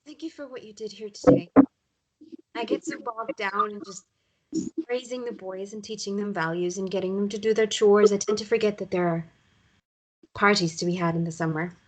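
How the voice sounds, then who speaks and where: A woman's voice: mid-range voice, moderate pace, natural voice, low-quality recording. A woman playing a caring, wry mother of young boys in a read-through of a romance drama. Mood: quiet, grateful. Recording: clear background noise, occasional clicks.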